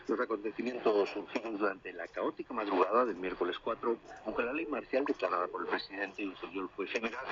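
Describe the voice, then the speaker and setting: A man presenting a scripted radio news bulletin in a formal, measured announcer's delivery, heard over shortwave radio. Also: lowish voice. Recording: muffled shortwave radio reception, distant, crackly.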